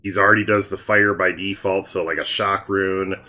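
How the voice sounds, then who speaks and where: Low-voiced man explaining game strategy. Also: normal speed. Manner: relaxed, explains strategy in detail. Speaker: gaming channel host. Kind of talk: casual gameplay commentary.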